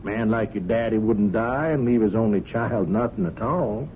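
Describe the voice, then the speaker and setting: A man's voice: moderate pace, close to an everyday voice. Male radio-drama role, actor playing: folksy, ingratiating ranch-country blackmailer who wheedles hesitantly; narrow-band, phone-like sound. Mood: wheedling. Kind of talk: old-time radio drama acting. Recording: muffled narrow-band radio, rumbling background noise.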